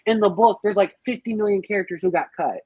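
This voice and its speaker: A woman explaining things emphatically and with salty language in an animated, casual group discussion on a podcast. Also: medium pitch, fast, breathy edge, no echo, dull audio. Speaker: podcast panellist.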